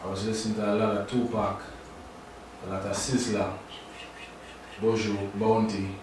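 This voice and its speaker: Young man, low voice, very echoey room. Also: level tone. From Trinidad. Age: young adult. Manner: laid-back, halting, many fillers. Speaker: guest artist. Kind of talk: casual radio interview. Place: radio studio. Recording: very echoey room, distant mic, faint noise.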